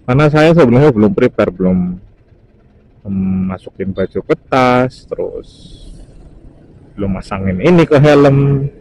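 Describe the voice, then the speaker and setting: A man's voice: medium pitch, expressive, unhurried, long pauses, darkish tone, low-quality recording. A young man, chatting casually to viewers as he commentates on his motorcycle ride. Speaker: motovlogger. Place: outdoors, riding a motorcycle on a highway. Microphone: helmet-mounted mic. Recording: clear engine and traffic noise.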